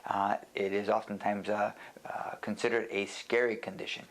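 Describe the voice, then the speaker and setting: A man speaking as a doctor in an unscripted educational lecture, explaining things in plain words with a halting, deliberate delivery. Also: on the low side, flat delivery.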